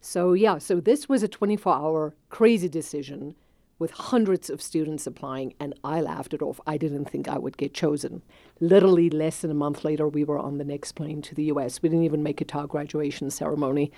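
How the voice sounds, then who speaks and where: A woman in her forties with a South African accent and Afrikaans as her first language tells her own story, reflective and quick to laugh. Her voice sits low and mellow. The sound is close and dry. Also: fairly even intonation, dry recording. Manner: reflective, laughs easily, uses fillers. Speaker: business owner telling her story. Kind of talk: personal storytelling interview.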